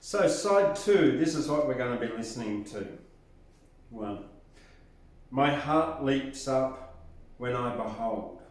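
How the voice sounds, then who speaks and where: A man's voice: mid-range voice, lively intonation, slow pace, long pauses. An older man hosting casually, introducing a record and reading out a track list, recorded indoors by a camera across the room. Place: indoors, small home-like room with record player. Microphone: camera a few metres away. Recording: very echoey room, distant microphone.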